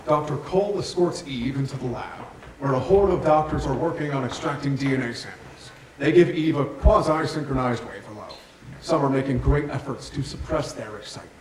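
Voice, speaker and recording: A man's voice: fairly high pitch, recorded from a distance. A man reading stage directions as scripted narration at a staged reading. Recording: very echoey theatre from afar.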